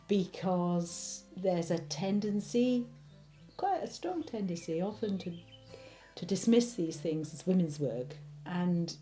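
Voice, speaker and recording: A woman, thoughtfully reminiscing in a documentary interview. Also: on the low side. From Wales. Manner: thoughtful, unhurried, warmly reminiscing. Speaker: interviewee, spinner and knitter. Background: background music.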